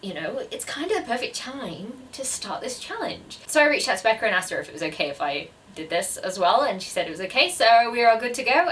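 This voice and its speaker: Female vlogger, twenties, lowish pitch but bright tone, chatty and self-deprecating, recorded a little away from the mic. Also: distant mic. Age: 20s. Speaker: book vlogger. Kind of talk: casual chat to camera.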